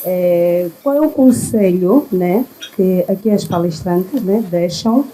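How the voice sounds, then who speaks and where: Dark, slow-speaking woman in her thirties. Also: lowish voice, slight background noise, poor audio. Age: 30s. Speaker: audience member asking a question. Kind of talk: unscripted question to panel.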